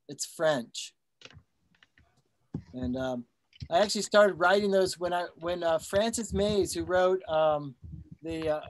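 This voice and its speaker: A man's voice: a little high, no echo. A man chatting casually and appreciatively after a poetry reading, with self-effacing, joking remarks. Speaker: poet who has just given a reading.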